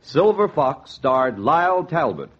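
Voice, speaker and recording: A man's voice: a little high, big pitch swings, unhurried. Theatrical 1940s radio announcer man over organ. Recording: dull vintage 1940s radio recording. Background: organ music.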